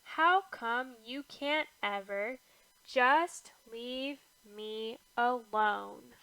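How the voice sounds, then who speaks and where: A woman voicing a young boy, an easily frustrated big brother, in expressive storybook dialogue. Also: a little high, drawn-out words, smooth, no room sound, heavily acted, poor audio. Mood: exasperated.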